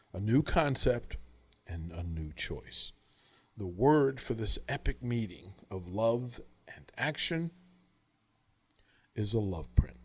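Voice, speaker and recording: Earnest man narrating with deliberate pauses. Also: medium pitch. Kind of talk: scripted audiobook-style narration.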